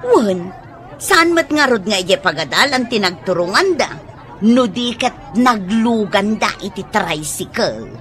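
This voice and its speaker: A woman's voice: mid-range voice, animated, unhurried, a bit bright. A woman playing a nosy, gossiping acquaintance in a radio drama, with a northern Philippine accent. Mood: gossiping, insinuating. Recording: music and crowd noise behind.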